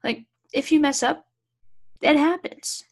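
A young woman co-hosting a casual chat, chiming in with quick, relaxed remarks. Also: high-pitched. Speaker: podcast co-host.

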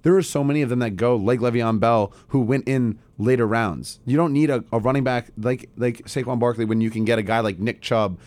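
A man's voice: mid-range voice, brisk, few pauses, darkish tone, no room sound. A man in a casual sports debate who argues his point and pushes back. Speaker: sports podcast co-host.

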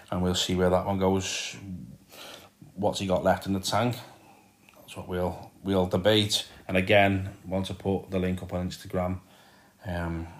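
Low-voiced Liverpudlian podcast host. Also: male voice. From Liverpool, England. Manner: chatty and rambling, with frequent ums and repeated words. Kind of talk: casual solo podcast talk.

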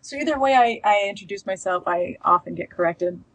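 A woman's voice: medium pitch, a little fast, dry recording, poor audio. A woman from Russia, a native Russian speaker, chatting as an interview guest in a friendly, self-deprecating way. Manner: chatty and self-deprecating, with fillers and light laughs. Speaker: guest author. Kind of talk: casual interview conversation.

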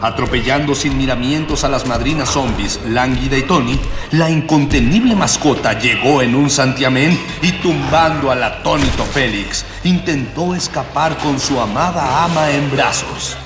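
A man's voice: mid-range voice, normal speed, hardly any pauses. A man narrating a radio serial in a florid, pompous, declamatory mock-epic style. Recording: long echoing reverb, music behind.

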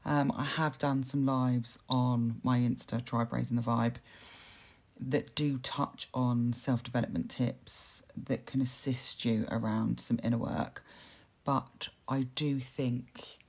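Hoarse low-voiced woman. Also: little pitch movement, slow pace, darkish tone. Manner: earnest, repeats words, many fillers. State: hoarse, dry voice. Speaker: podcast host. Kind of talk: motivational self-help talk.

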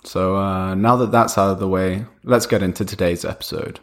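A young man with a cold hosts a solo podcast in a lowish voice. He talks loosely and conversationally, thinking aloud with small restarts and fillers as he goes. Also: steady tone, normal speed. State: has a cold. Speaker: podcast host. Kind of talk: casual solo podcast monologue.

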